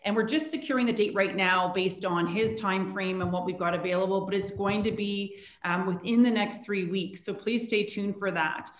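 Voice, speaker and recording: A woman's voice: mid-range voice, steady tone, moderate pace, few pauses. A woman in her thirties hosting an office town hall over a video call, chatty and upbeat. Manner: chatty, upbeat, laughs easily. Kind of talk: casual video call announcements. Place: office meeting room. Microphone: laptop camera on video call. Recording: echoey room.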